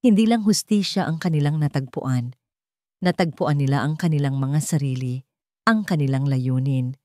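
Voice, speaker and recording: A woman's voice: low voice, warm tone, no room sound, clean studio sound. A Filipino woman reading a scripted romantic drama story in an earnest, sentimental storyteller's manner. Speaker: storyteller, narrator of an online story channel.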